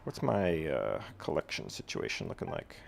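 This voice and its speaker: A man's voice: rumbling low, huge pitch swings, moderate pace, vocal fry. A man giving casual video game commentary, slangy, with jokey asides to the characters. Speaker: video game streamer playing through a quest.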